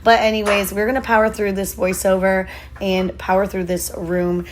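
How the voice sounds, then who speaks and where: A woman in her thirties with a hoarse voice, chatting casually and upbeat through a vlog voiceover. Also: medium pitch, level tone, normal speed. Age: 30s. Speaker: lifestyle vlogger.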